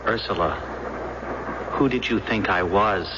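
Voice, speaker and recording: A man's voice: fairly low pitch, unhurried, close to an everyday voice. An actor playing a nervous, insecure young man in a 1970s radio drama, earnest, hesitant and stammering. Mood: coaxing. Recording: dull, very noisy old radio recording.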